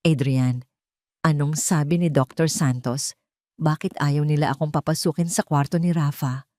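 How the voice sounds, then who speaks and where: A woman's voice: low voice, steady tone, normal speed, dark tone, no echo, close to an everyday voice. An anxious mother in an audio drama, acted out by a woman narrator. She sounds frightened and questioning, with a Filipino accent and a very dry recording. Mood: anxious, fearful. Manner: worried, questioning.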